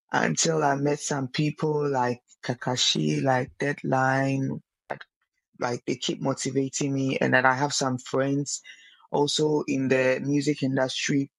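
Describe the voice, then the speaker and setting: A woman's voice: very deep voice, little pitch movement, unhurried, creaky, no room sound, rough recording. A young woman, a singer guesting on a casual podcast, earnest and often repeating words as she searches for them.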